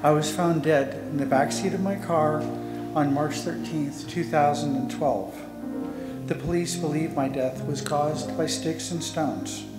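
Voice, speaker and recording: A man's voice: a little high, measured pace. A man reads prepared text aloud solemnly as a volunteer at a memorial. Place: church lectern, indoors. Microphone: lectern gooseneck microphone. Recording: echoey hall, loud guitar music behind.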